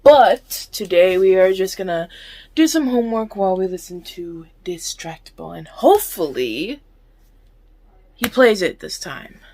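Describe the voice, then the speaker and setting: A woman's voice: medium pitch, animated, a little slow, darkish tone. A young woman YouTuber chatting casually to her viewers, giggly and talkative, answering back to what she hears. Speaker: YouTuber reacting to a podcast. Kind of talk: casual chat to camera.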